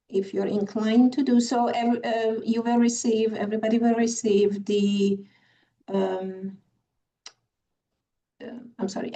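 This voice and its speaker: A woman's voice: mid-range voice, creaky, warm tone, far from the mic. A Hungarian woman with a Hungarian accent moderating a virtual conference, apologetic and hesitant, with many fillers. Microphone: video call.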